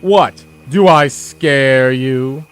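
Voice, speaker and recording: Man playing a slow, theatrical gloating villain. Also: mid-range voice, clear, resonant tone. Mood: taunting, grandiose. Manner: theatrical, taunting, drawn-out delivery. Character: grandiose gloating male villain, menacing would-be tyrant. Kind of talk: audio drama acting.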